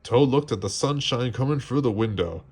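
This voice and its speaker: A man reading a children's story aloud in an expressive storytelling style. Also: mid-range voice, moderate pace, slightly rough. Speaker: storybook reader.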